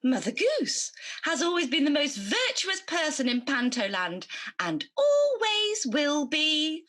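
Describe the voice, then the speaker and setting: A woman's voice: high voice, huge pitch swings, slow, a bit bright, heavily acted. A woman playing a good, easily indignant champion of virtue in a radio pantomime, theatrical and earnest. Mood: defiant.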